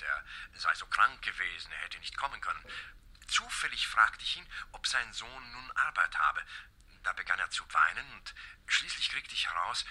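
An actor playing an earnest, apologetic family doctor in a German radio play, explaining things with slight hesitation and deference. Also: male voice, fairly low pitch, sharp tone, breathy edge, exaggerated voice.

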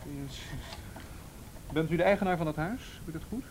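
An actor in a radio play playing a calm, firm police officer who is polite, official and insistent. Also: male voice, on the high side, a little fast, slight background noise, natural voice. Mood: methodical. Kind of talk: naturalistic radio play acting.

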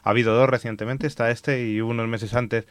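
Fast-talking blunt man. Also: mid-range voice, fairly even intonation, dry recording. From Madrid, Spain. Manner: fast, blunt and emphatic, speaks his mind. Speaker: guest, cycling advocate. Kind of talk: radio talk show conversation.

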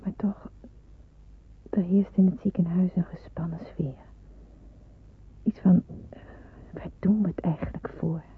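An earnest, deferential teenage girl nurse in a radio drama. Her voice is veiled and very breathy, with a meek, hesitant delivery. The old recording is thin and narrow, like a telephone. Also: lowish voice, fairly even intonation, a little slow, long pauses. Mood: hushed, uneasy. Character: eager, deferential seventeen-year-old girl, aspiring wartime nurse. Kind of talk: radio play acting with narration.

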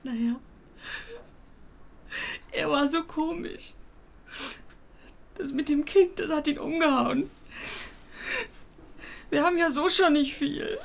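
Worried, halting, worn-out woman. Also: high-pitched, vocal fry, exaggerated voice. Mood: distressed. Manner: hesitant, halting, plain-spoken. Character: worried, worn-out pregnant working-class woman, plain and hesitant. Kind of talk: naturalistic radio drama dialogue.

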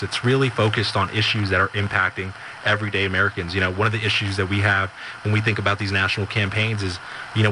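A low-pitched man from Southern California who rattles off fluent campaign talking points quickly, with a flat, level delivery. Steady noise sits behind his voice. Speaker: campaign staffer. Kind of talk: on-the-street interview.

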